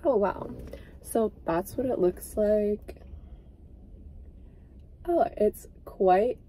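A young woman chatting casually to her camera from inside a parked car, relaxed and full of ums and likes. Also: mid-range voice, extremely slow, rough recording. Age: young adult. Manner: relaxed, filler-heavy, trailing off. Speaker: vlogger. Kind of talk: casual vlog chat.

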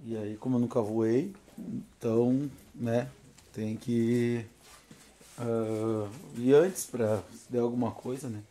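A man's voice: mid-range voice, fairly even intonation, slow, mellow. Nervous, chatty southern Brazilian man. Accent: southern Brazilian Portuguese. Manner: chatty, nervous, laughs often. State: tired from no sleep. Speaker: paranormal vlogger. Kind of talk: casual selfie vlog. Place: home porch, then inside a car. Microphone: phone held at arm's length.

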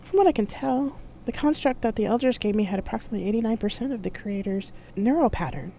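A narrator voicing a calm, knowing artificial intelligence companion in a science fiction audiobook. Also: mid-range voice, fast talker, a little hiss or hum, voice pushed up, lightly acted, rough recording. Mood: explanatory, calm.

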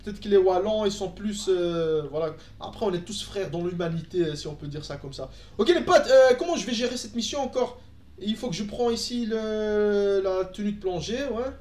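A man's voice: high voice, darkish tone, echoey room. A young Belgian man, a native Dutch speaker, chatting casually with his live-stream audience while playing, with lots of fillers and restarts. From Belgium, Antwerp. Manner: chatty, answers chat, frequent fillers and restarts. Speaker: gaming streamer. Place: room at home, indoors.

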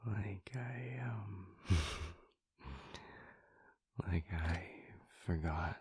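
A man playing an affectionate, dreamy telepath boyfriend in an intimate audio roleplay, in a breathy, halting, rambling way, close to the microphone. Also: low-pitched, darkish tone, heavily acted. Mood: dreamy, loving. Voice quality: breathy, husky whisper. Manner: halting, rambling, drowsily giggly. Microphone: close, dry studio microphone.

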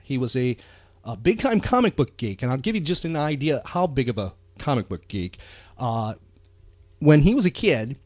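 A man's voice: medium pitch, very animated, normal speed, darkish tone, no echo. A man hosting a chatty pop culture podcast, talking with enthusiasm and emphasis, often repeating phrases for effect. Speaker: podcast host.

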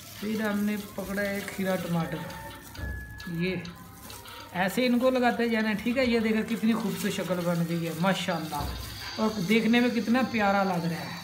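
A woman cooking at home and explaining a recipe step by step, chatty and repeating each step as she goes. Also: medium pitch. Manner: chatty, repeats steps, admiring the food. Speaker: home cook. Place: home kitchen table, indoors. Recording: roomy with loud background noise.

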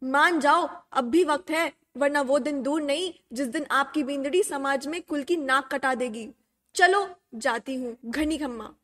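A woman's voice: high voice, a little slow, fairly bright tone. A woman playing a gossipy, taunting sister in a royal household, insinuating and scornful, in a radio drama. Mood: scornful, warning. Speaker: actor in a student radio drama.

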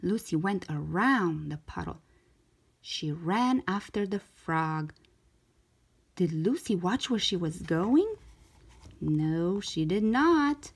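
A woman reads a children's story aloud with lively, swooping pitch and a slow pace. Her voice is a little husky, and she shapes each short sentence carefully and expressively for young listeners. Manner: animated, careful storytelling delivery.

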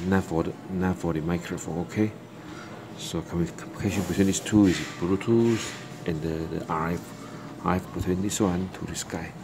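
A man giving a casual, halting product demonstration indoors, repeating himself as he explains. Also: deep voice. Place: indoors at a desk with electronics. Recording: clear background room noise.

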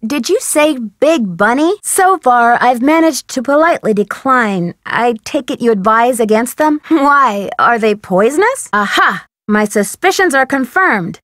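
A woman's voice: a little high, fairly bright tone, no echo, studio quality. A woman playing a polite, inquisitive, earnest young female amateur detective in scripted adventure game dialogue, speaking articulately. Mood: playful, polite. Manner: polite, articulate, eager questioning.

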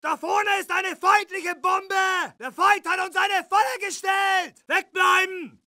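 A German wartime soldier from a video game, shouts urgent warnings and orders. His voice is pushed very high and hard, with a sharp, forceful edge. Each short command is drawn out and barked. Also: fairly even intonation, measured pace, no echo, clean studio sound, heavily acted. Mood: urgent, alarmed. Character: urgent German Second World War infantry soldier.

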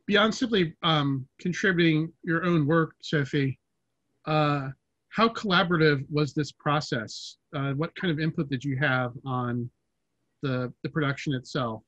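A man's voice: a little high, unhurried, fairly bright tone, no echo. A middle-aged man moderating a panel, posing interview questions over a video call from home. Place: room at home, indoors. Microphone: computer mic, video call. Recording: slightly dull video-call audio.

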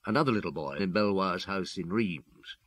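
Low-voiced, musing police detective. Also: male voice, animated, normal speed, fairly bright tone, slightly rough, no room sound, heavily acted. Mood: reflective. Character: reflective male police detective recounting a past case. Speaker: detective narrator. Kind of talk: old radio drama storytelling.

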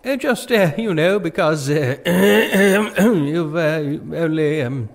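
A man's voice: fairly high pitch, expressive, slow, creaky, full character voice. An actor playing a bumbling king in a comic audio drama, hesitant, stammering and blustery. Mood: dithering. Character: bumbling, dithering, sceptical old-fashioned king.

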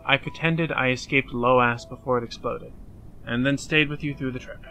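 A man's voice: medium pitch, fairly even intonation, moderate pace, vocal fry, sharp tone, faint music behind, rough recording. A man playing an anxious, self-blaming young man in a fan dub drama, speaking earnestly and hesitantly. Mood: ashamed, confessing.

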